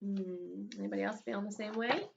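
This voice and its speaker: A woman's voice: medium pitch, fairly even intonation, brisk, vocal fry, muffled recording, a little hiss or hum. A woman reading a children's storybook aloud as a schoolteacher, expressively, with asides to her young pupils. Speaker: elementary school teacher.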